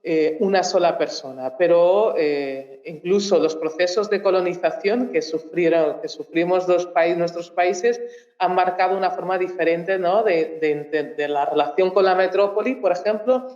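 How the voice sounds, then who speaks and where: A woman from Mozambique, a native Portuguese speaker, giving a public talk as a researcher, thinking aloud with frequent pauses and restarts. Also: on the low side, darkish tone, echoey room, dull audio. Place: university auditorium.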